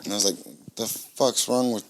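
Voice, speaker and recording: Texas guy on a podcast, low voice, swears a ton, tells stories and cracks jokes. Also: steady tone, normal speed, a little hiss or hum. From San Antonio, Texas. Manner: profane, joking storyteller. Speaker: podcast co-host. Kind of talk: casual storytelling.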